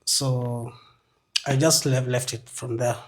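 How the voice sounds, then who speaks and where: A young Kenyan man, a music producer, talking as a guest in a casual interview, halting and restarting his sentences often. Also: mid-range voice, level tone. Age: young adult.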